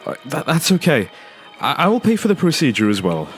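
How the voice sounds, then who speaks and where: A man's voice: on the high side, huge pitch swings, brisk. An actor playing an earnest, self-doubting father with a hesitant, stammering way of talking in an audio drama. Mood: sincere, reassuring. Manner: hesitant, stammering, repeats words. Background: music.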